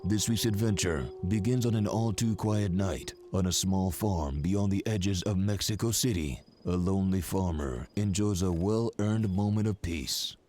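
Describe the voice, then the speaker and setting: A man's voice: deep voice, unhurried, hardly any pauses, a little mellow. A man playing a grand storyteller, delivering dramatic scripted narration for an audio drama in a portentous manner. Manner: portentous, ominous. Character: grave, grandiose male storyteller narrator of pulp adventure.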